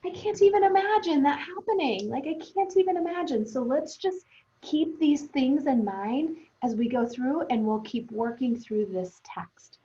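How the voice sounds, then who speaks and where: A woman teacher thinking aloud for young pupils in a conversational, expressive read-aloud lesson. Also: sits high, moderate pace. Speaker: elementary teacher. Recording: roomy, echoing, dull lo-fi sound.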